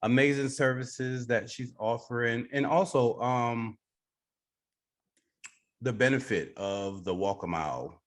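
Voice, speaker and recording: A man's voice: medium pitch, slow. A man hosting a podcast, interviewing a guest in a casual conversational way. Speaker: podcast host.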